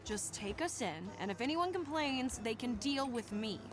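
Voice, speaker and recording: A woman voicing a brash, hot-tempered, sardonic female robot character in a video game cutscene, blunt and expressive. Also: fairly high pitch, normal speed, over music, lightly acted. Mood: indignant.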